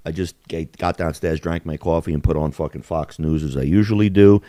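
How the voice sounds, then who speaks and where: A man's voice: a bass voice, steady tone, brisk, warm tone, no echo. A man co-hosting a podcast, telling stories in crude, profane casual chat. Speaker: podcast co-host.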